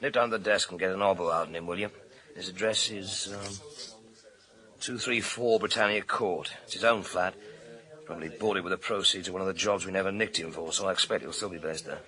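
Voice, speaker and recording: British police inspector, radio thriller, deepish punchy voice, bossy and blunt, cop slang. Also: male voice, steady tone, bright, forceful tone. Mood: determined. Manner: brisk, commanding, slangy. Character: driven, blunt male police inspector leading a murder hunt.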